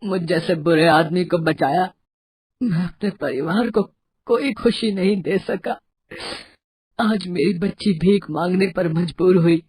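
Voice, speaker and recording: A woman voicing an ashamed, self-blaming father with heavy, remorseful delivery in an animated moral story. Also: lowish voice, unhurried, slight background noise, natural voice.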